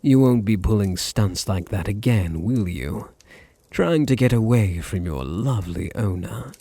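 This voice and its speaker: A man with a deep British voice playing a possessive, dominant vampire master in an intimate ASMR roleplay, teasing and condescending, with a gravelly, husky murmur. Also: lively intonation, mellow. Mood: soft menace. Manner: slow, teasing, condescending, drops into breathy murmurs.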